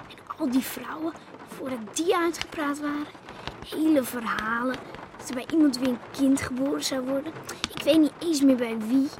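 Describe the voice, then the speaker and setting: A woman playing a young twin sister from a fishing family in a radio drama, defensive and anxious, with clear noise around her. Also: sits high, close to an everyday voice.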